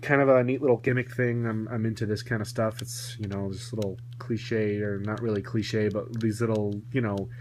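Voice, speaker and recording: Low-voiced man, rambling, with noisy hum. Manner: rambling, self-correcting asides. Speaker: toy collector reviewing a vintage toy. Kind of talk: casual hands-on video review. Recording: noisy home recording with electrical hum.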